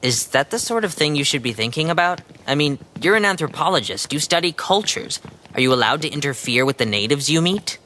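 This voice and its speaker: A man's voice: on the high side, lively intonation, a little fast, sharp tone, a little hiss or hum. A man voicing a skeptical, blunt teenage boy in audiobook dialogue, questioning and matter-of-fact.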